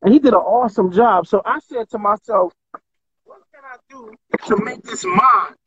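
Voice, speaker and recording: A man's voice: high-pitched, moderate pace, sharp tone, husky, muffled recording. A middle-aged man from Trinidad and Tobago, telling stories in a casual, slangy interview chat over a video call from home. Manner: laid-back storyteller, slangy, laughs often. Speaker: artist guest. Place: home room with painted walls. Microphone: video call webcam.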